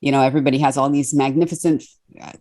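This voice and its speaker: A woman's voice: rumbling low, steady tone, very fast, warm tone. A middle-aged woman from Trinidad and Australia, a confidence coach answering interview questions thoughtfully. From born in Trinidad, raised in Australia. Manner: reflective, thoughtful with many fillers.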